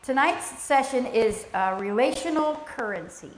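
A soft-spoken woman leading a church teaching session, speaking in a gentle, conversational way with a spoken prayer. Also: a little high, animated, measured pace, a little hiss or hum. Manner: gentle, conversational, with fillers and restarts. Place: church sanctuary. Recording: echoey church room, faint ambience.

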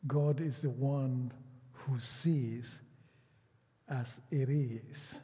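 Husky slow-preaching man. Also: mid-range voice, fairly even intonation, dark tone, long reverb tail. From Nigeria. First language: Yoruba. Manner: slow, emphatic preaching with drawn-out phrases and repetition. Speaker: pastor. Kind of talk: sermon.

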